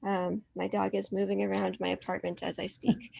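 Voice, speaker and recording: A woman's voice: medium pitch, little pitch movement, normal speed, creaky, mellow, poor audio. A woman curator presenting a virtual artist talk from her apartment, polite and full of ums. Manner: polite, filler-heavy presenting.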